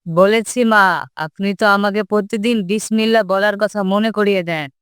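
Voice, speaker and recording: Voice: mid-range voice, fairly even intonation, brisk, fairly bright tone, clear, resonant tone, no echo, studio quality, close to an everyday voice. A performer voicing an earnest, polite, kind-hearted schoolboy in a scripted cartoon. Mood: devout, respectful.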